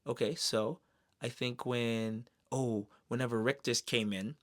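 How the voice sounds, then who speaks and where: Guy streamer doing a casual fan review, super slangy and excited, medium pitched voice. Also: unhurried. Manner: slangy, expressive, with exclamations and sound effects. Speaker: streamer reviewing an animated series.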